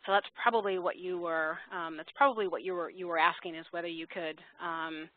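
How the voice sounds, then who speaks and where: A woman's voice: on the low side, fairly even intonation, fairly quick, no echo. A woman presenting a webinar in an informal, explanatory way, thinking aloud as she takes questions. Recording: tinny, dry phone-line audio.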